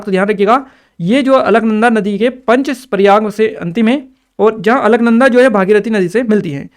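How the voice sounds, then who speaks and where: A man explaining exam questions step by step as a teacher, addressing students directly and checking they follow. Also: high voice. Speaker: exam-prep teacher and channel presenter.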